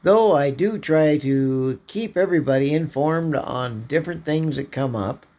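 A man with a hoarse voice, hosting a political commentary podcast in a slow, halting way, often clearing his throat. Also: fairly high pitch, a little mellow. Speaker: podcast host.

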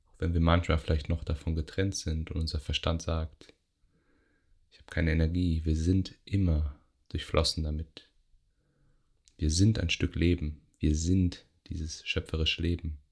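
Very deep, slow-speaking male meditation guide. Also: little pitch movement, creaky, slightly rough. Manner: gentle, unhurried, with long pauses between short phrases. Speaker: yoga nidra meditation guide. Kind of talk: guided meditation, soothing relaxation instruction.

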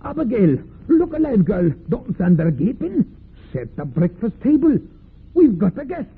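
Quaker father, middle-aged, kindly but firm, radio drama, higher male register, faintly noisy vintage sound. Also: very animated, moderate pace. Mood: brisk, scolding. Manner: plain Quaker speech with thee and thou. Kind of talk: old-time radio drama acting. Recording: muffled, noisy old radio recording.

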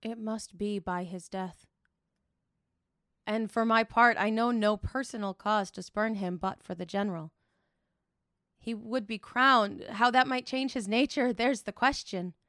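A woman performing a Shakespearean soliloquy as a grave Roman nobleman, reasoning in a deliberate, brooding way. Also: mid-range voice, steady tone, a bit bright, no room sound, studio quality, natural voice.

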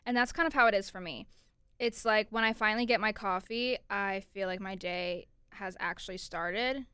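A woman's voice: mid-range voice, normal speed, bright, forceful tone, clear, resonant tone, no echo, clean studio sound. A twenty-year-old woman from Florida hosting her own podcast in a chatty, upbeat way, often saying "like" and "you know". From Orlando, Florida, USA. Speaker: podcast host. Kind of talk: casual solo podcast chat.

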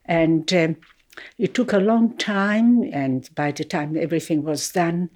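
An older woman speaks in a lowish voice, taking her time. She tells her story reflectively, with gentle laughs. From Poland. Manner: slow and thoughtful, with soft laughs. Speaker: interviewee. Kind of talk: reflective personal recollection.

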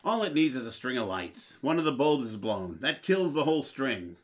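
An actor playing a crabby, penny-pinching, exasperated husband in an old-time radio comedy, grumbling and indignant. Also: male voice, medium pitch, big pitch swings, moderate pace, natural voice. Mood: impatient.

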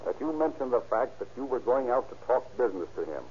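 An actor playing a relentless police interrogator in an old radio crime drama, firm and accusatory. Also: male voice, mid-range voice, sing-song, quick pace, darkish tone, lightly acted. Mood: accusing, pressing. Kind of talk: 1940s-50s radio crime drama acting. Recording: dull, hissy old-time radio recording.

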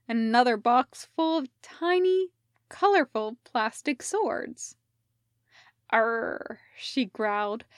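Slow, high-voiced woman reading a story. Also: dry recording, studio quality. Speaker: podfic reader. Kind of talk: scripted narration of fan fiction audiobook.

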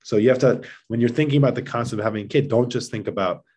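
A man's voice: medium pitch, very fast, darkish tone, slightly rough. A man speaking as a podcast guest in casual conversation, explaining things in an earnest, emphatic way. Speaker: podcast guest, father of seven.

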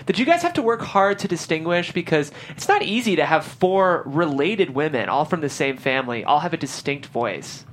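A woman with a slightly low voice puts questions to guests in a casual panel. She is informal and conversational, and faint room noise sits behind her. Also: very animated, moderate pace, few pauses.

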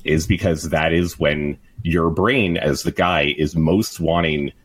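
A man's voice: a bass voice, fairly even intonation, takes it slowly, husky. A man chatting on a podcast in a laid-back, musing way. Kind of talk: casual podcast chat.

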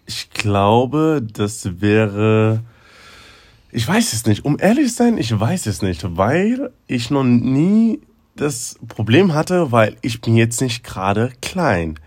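A man's voice: mid-range voice, sing-song, slow pace, dry recording. A young man co-hosting a podcast, talking casually and hesitantly with lots of fillers. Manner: hesitant, many fillers, laughs loudly. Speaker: podcast co-host. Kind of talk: casual chat.

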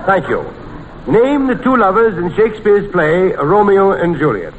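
An actor playing a flustered, excitable straight-man quizmaster in a 1940s radio comedy, formal in his politeness and repeating himself. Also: high-pitched, measured pace. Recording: dull, noisy old radio recording.

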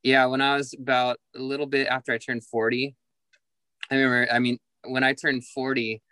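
A man's voice: medium pitch, little pitch movement, fairly quick, bright, forceful tone, clean tone, dry recording. A man in his forties, a musician guest, talking candidly and reflectively in an interview, with many fillers and restarts. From Southern California. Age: 40s.

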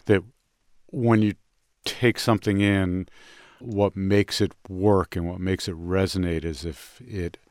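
A middle-aged or older man hosting a music show, telling personal stories in a casual, reflective, halting way. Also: deep voice, little pitch movement, a little mellow, no echo, clean studio sound.

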